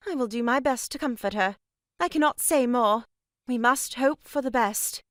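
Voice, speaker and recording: A woman's voice: a little high, normal speed, fairly bright tone, no echo, clean studio sound, close to an everyday voice. An actress playing a meddling, pompous woman in a stage farce, declaiming with melodramatic grievance. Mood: mournfully grand. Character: meddling, theatrically aggrieved sister-in-law, grandly moralizing woman. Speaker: voice actor. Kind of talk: stage farce audio drama acting.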